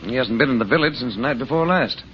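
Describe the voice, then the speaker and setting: An actor playing a calm, authoritative adventurer in a 1940s radio drama, measured and admonishing. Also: male voice, mid-range voice, natural voice. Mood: calm, firm. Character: calm, authoritative adult man, reasoning adventurer hero. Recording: muffled, noisy old radio recording.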